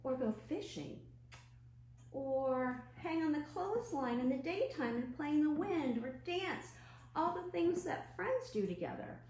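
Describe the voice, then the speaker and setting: A woman children's librarian telling a story to young children in a gentle, expressive way, asking simple questions as she goes. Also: sits high, unhurried. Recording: roomy echo, clear background noise and hum.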